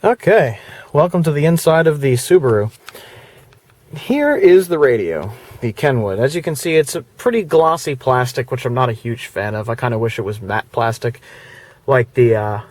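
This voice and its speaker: A man's voice: medium pitch, no echo. A man in a casual vlog, talking through his car stereo with loose, rambling speech full of fillers and restarts. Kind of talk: casual product walkthrough vlog. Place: inside a parked car; indoors before going to the car.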